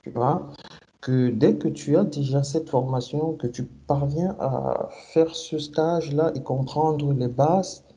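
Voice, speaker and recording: A man's voice: fairly high pitch, slow, warm tone. A man in his thirties from Cameroon, answering interview questions about his career, hesitant, with many fillers and restarts. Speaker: SAP consultant guest.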